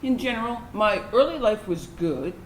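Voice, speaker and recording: A woman acting as a grown-up military-family tomboy reflects in a staged monologue. Her mid-pitched voice moves phrase by phrase through a roomy recording with a little noise. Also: lively intonation, moderate pace, lightly acted. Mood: reflective, fond. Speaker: actor in a staged reading. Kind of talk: reflective monologue read from a script to an audience.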